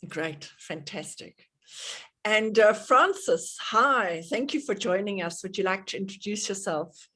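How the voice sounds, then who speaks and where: A woman's voice: medium pitch, animated, a little slow, a bit bright. A middle-aged woman with a South African accent hosting an online workshop over a video call, chatty, with many fillers and restarts. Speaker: workshop host.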